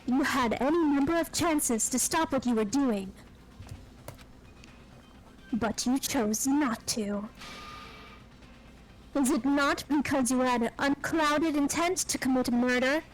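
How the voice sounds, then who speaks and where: A woman voicing a cool, composed teenage girl detective from a video game, measured and probing. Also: high-pitched, faint music behind, low-quality recording. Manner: measured, interrogating. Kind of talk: fan voice acting of a video game.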